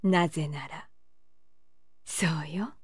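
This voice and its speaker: A woman's voice: low voice, takes it slowly, slightly rough, no room sound, studio quality, heavily acted. A woman voicing a haughty, lovestruck young pirate empress in an anime video game, with imperious, archaic regal diction. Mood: haughty, commanding.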